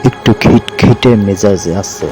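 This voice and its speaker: A man's voice: fairly low pitch, a little slow, mellow. A man giving scripted narration for a cartoon story. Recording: music behind the voice.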